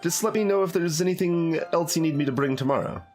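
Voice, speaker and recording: A man's voice: fairly high pitch, quick pace. A man streaming a game, reading the narration aloud expressively and adding casual off-the-cuff commentary. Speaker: streamer doing a blind voiced playthrough. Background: music.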